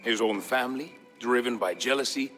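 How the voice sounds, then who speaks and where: A man reading a scripted historical tale as a storyteller, in a measured, solemn manner. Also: medium pitch, fairly bright tone, soft background music. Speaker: narrator of a game campaign storyline. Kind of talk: scripted dramatic storytelling narration.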